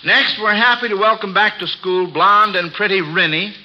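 A man's voice: high voice, fairly even intonation, moderate pace, a little hiss or hum. A man hosting an old-time radio quiz for children, with a folksy, avuncular, exclaiming way of talking. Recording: dull vintage radio broadcast.

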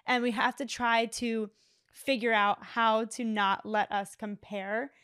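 A woman's voice: a little high, level tone, fairly bright tone, clean tone. A woman in her late twenties co-hosting a podcast, talking candidly and earnestly in a casual chat, with frequent "like" fillers. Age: 20s. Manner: candid, earnest, speaks in quick runs with "like" fillers. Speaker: podcast co-host.

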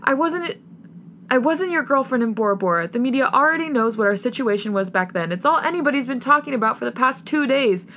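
A woman's voice: mid-range voice, brisk, fairly bright tone, a little hiss or hum, natural voice. A woman playing a betrayed young girlfriend in an audio story, bitter, sarcastic and ranting through heartbreak. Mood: shrieking, upset. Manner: ranting, scornful, tearful.

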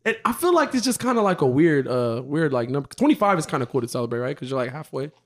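A man's voice: on the high side, sing-song, quick pace. A man in his twenties, co-hosting a podcast, joking and laughing in casual banter with friends. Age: 20s. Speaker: podcast co-host. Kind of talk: casual chat among friends.

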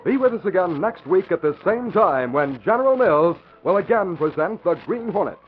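A man's voice: high voice, lively intonation, moderate pace. An American man announcing in scripted 1940s radio style, with an emphatic, promotional delivery. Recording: muffled vintage radio broadcast.

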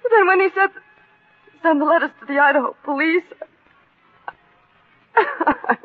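An actress playing an anxious, trusting wife in a 1940s radio melodrama, hesitant, breathless and emotional. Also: female voice, extremely high pitch, animated, unhurried. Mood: anxious, confessing. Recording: tinny, narrow old radio broadcast.

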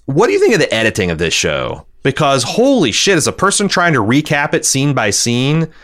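A man's voice: medium pitch, huge pitch swings, fairly quick, fairly bright tone. A man hosting a podcast, casually recapping television and reading out listener feedback in an opinionated, exasperated way with some swearing. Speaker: podcast host.

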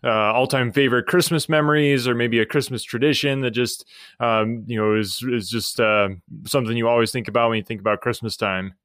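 A man hosting a casual interview, relaxed and quick to laugh as he asks questions. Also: medium pitch, no room sound, clean studio sound. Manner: relaxed and friendly, laughs easily.

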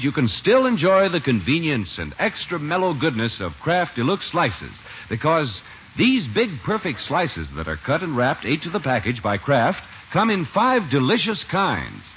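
A man's voice: fairly high pitch, huge pitch swings, normal speed, fairly bright tone, slight background noise. An American man announcing from a script in the polished sales style of 1950s radio. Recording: muffled vintage radio recording.

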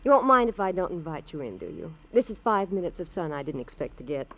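An actress playing a chatty, grudging housewife in a 1950s radio crime drama, animated, wisecracking and given to mimicry. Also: female voice, lowish voice, fast talker. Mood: offhand, weary. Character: brassy, chatty, grudging housewife, gossipy former neighbor. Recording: dull, dry old radio recording.

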